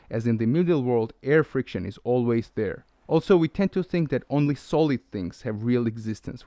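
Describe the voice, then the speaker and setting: Guy narrating a video essay, lecture tone, mid pitch, dry close sound. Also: mellow, no room sound. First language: Georgian. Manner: measured, lecturing. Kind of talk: scripted narration.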